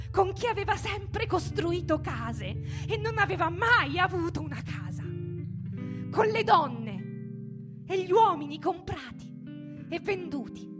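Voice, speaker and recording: A woman giving a theatrical, declamatory reading in deliberate phrases, like a stage performer telling a story. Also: very high-pitched. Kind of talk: theatrical dramatic reading over music. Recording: live radio reading with music underneath.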